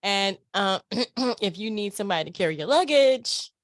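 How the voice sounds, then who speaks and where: A woman's voice: mid-range voice, expressive, normal speed, no room sound, clean studio sound. A woman hosting a casual chat interview, speaking in an informal, slangy and effusive way. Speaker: interview show host.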